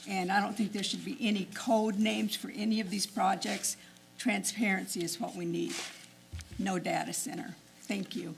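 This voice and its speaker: A woman's voice: mid-range voice, flat delivery, moderate pace, creaky, a little hiss or hum. A woman giving a short, firm public comment at a county council meeting. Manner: firm, earnest.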